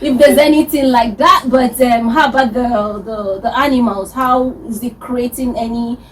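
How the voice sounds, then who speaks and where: A woman hosting a radio talk show, relaxed with light laughter. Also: on the high side, normal speed. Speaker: radio host. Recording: distant mic with faint noise.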